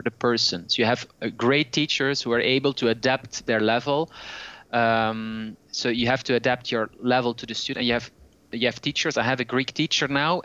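A man's voice: medium pitch, fairly bright tone, highs cut off. A man talking as a guest language teacher in a casual podcast conversation. He explains his views at length and thinks aloud.

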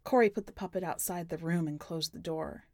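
A woman's voice: lowish voice, fairly quick, a little mellow, no echo. A woman reading a short story aloud as scripted, dramatic narration.

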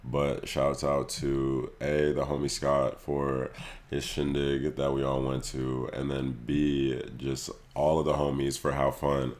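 A man hosting a casual podcast chat, hoarse from losing his voice, laughing often and talking loosely. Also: rumbling low, completely flat delivery, slow pace, darkish tone. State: hoarse, lost voice. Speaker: podcast host.

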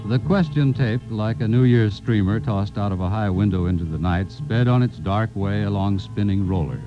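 An actor as the wry, theatrical narrator of a 1950s science fiction radio drama. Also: male voice, on the low side, moderate pace, close to an everyday voice. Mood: playful, suspenseful. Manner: emphatic, theatrical storytelling. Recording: dull vintage radio broadcast recording. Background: music.

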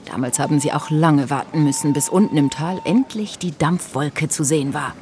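An actress playing a chatty, scatterbrained narrator in an audio play, musing and drifting into playful asides. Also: female voice, low-pitched, moderate pace, darkish tone, a little hiss or hum, close to an everyday voice. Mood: musing, animated. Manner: musing, hesitant, wandering off into asides. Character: chatty, scatterbrained woman narrator, playfully straying from her script. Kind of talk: scripted audio play for a hiking trail, conversational.

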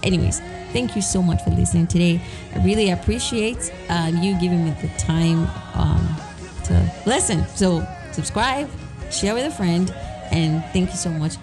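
Raspy-ish lady podcaster, lowish voice, earnest and encouraging, music playing under her. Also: moderate pace. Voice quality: slightly raspy. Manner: earnest, encouraging, many fillers. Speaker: podcast host. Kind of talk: solo reflective podcast talk.

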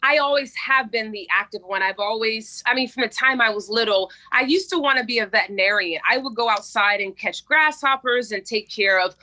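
Energetic woman coach on an interview, bright voice, stresses her points. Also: fairly high pitch, a little fast, few pauses. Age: 40s. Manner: energetic, emphatic, storytelling. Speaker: guest, women's coach. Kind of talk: interview conversation.

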